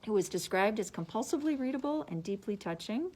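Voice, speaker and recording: A woman's voice: mid-range voice, expressive, fast talker, darkish tone. Woman librarian reading book summaries. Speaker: librarian presenting book picks. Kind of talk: scripted book summaries read aloud.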